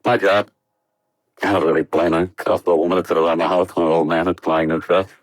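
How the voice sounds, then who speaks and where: An actor playing an earnest, troubled young American test pilot, hesitant and subdued in 1950s radio drama style. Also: male voice, low-pitched, level tone, fast talker, no echo, studio quality. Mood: subdued, worried.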